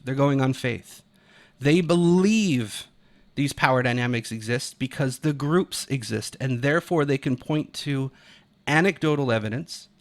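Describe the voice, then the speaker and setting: An American man from Utah giving unscripted, opinionated video commentary in an emphatic, argumentative and casually profane way. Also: medium pitch. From Utah, United States.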